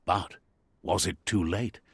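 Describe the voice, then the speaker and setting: A man playing a wry, theatrical storyteller who narrates a post-apocalyptic fable in a video game with deliberate, whimsical delivery. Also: low voice, no room sound, clean studio sound, full character voice. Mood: solemn storytelling. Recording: clear background noise under the voice. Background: game ambience and noise.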